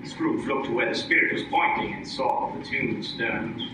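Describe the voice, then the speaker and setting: A man's voice: medium pitch, fairly even intonation, normal speed, background noise. A man narrating a scripted radio play in a dramatic storytelling style. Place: live stage performance, far from the mic. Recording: echoey distant live recording, music behind.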